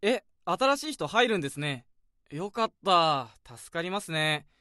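A friendly young office junior in a radio drama, polite but easygoing. His voice is slightly high and lively in pitch. The sound is dry and close. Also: a little slow, dry recording. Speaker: junior coworker.

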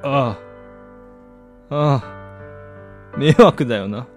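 An actor playing a broken middle-aged father in a radio drama, heavy and introspective, halting with remorse. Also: medium pitch, darkish tone, faint music behind. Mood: ashamed, tearful. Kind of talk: radio drama narration and acting.

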